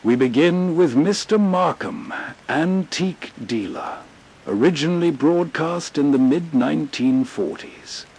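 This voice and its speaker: A man hosting with atmospheric, scripted narration, soothing and full of storyteller pauses. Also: on the high side, darkish tone, breathy edge, low-quality recording. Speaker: host introducing old radio stories.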